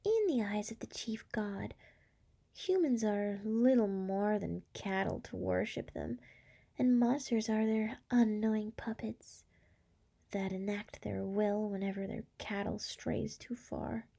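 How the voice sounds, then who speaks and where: A woman voicing a seductive, tender demon lord in an intimate ASMR roleplay, speaking softly and coaxingly with a breathy, often whispering voice. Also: mid-range voice. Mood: coaxing, solemn. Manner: slow, soft, coaxing. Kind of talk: scripted ASMR roleplay, intimate address to listener.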